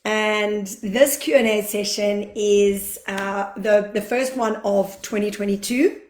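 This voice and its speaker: A chatty woman hosts a livestream from a roomy, echoing space, her voice a touch croaky. She greets viewers by name and talks through her plans at an easy, unhurried pace, with plenty of fillers. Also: medium pitch, steady tone. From Australia. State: slightly croaky from allergies, clears throat. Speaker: acupuncturist hosting a live Q&A. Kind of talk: casual livestream talk. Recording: echoey room livestream.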